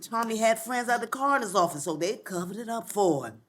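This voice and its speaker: An American woman playing a blunt, sharp-tongued older grandmother and family matriarch in a live comedy script reading. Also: a little high, expressive, a little fast, natural voice. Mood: stern, scolding. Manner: blunt, sassy, theatrical. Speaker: actor in a live sitcom table read.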